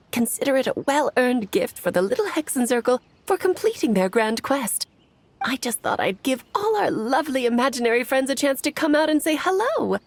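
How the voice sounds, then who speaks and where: A playful, scheming witch mother with a fairly high, very animated voice that often drops into creak. She speaks eloquently and teasingly, in polished game-cutscene style with soft music behind. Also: dry recording, exaggerated voice. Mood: amused, gracious. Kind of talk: video game cutscene acting.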